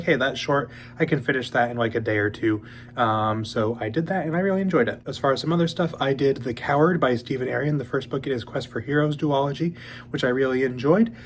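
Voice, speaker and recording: A young man hosting a casual book channel, talking in a relaxed, rambling way with many ums, a little sniffly as he gets over a cold. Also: mid-range voice, a little hiss or hum. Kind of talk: casual monthly reading update.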